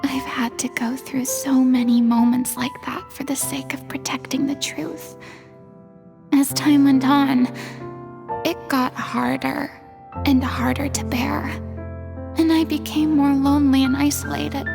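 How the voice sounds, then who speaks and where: A woman voicing a melancholy, theatrical young former goddess in a video game, speaking in a wistful, measured way. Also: high-pitched, level tone, gravelly. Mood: wistful, confessional. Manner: wistful, measured, dramatic delivery. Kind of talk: dramatic video game voice acting. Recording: background music behind the voice.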